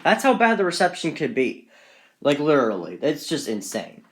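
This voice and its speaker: A man's voice: a little high, sing-song, normal speed. Rambling guy giving a casual show-and-tell. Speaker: hobbyist collector presenting radios on video.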